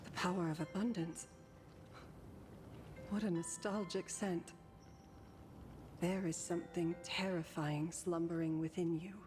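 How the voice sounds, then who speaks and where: A woman's voice: lowish voice, fairly even intonation, measured pace, long pauses, natural voice. An actress playing a serene, mysterious ferrywoman in a video game, with formal, poised speech. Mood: knowing, solemn. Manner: formal, poised, archaic phrasing. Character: serene, mysterious ferrywoman guiding travelers to the afterlife. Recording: long reverb, game music behind. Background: game music.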